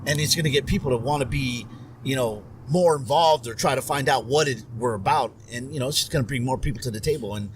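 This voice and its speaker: A man's voice: medium pitch, fast, a little hiss or hum. A middle-aged man, an Army veteran, talking earnestly and rambling with fillers as a podcast guest on a patio. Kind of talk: casual podcast conversation. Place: covered patio with string lights at night.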